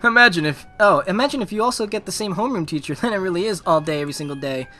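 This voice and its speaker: A man voicing a teasing, excitable teenage boy classmate in a visual novel, chummy in manner. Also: sits high, rapid-fire, faint music behind. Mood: excited, teasing.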